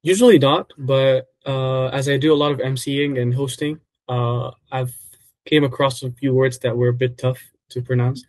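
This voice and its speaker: A man in his twenties from Egypt, a native Arabic speaker, answering interview questions in relaxed, casual English with many fillers and self-corrections. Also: mid-range voice, normal speed, dry recording. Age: 20s.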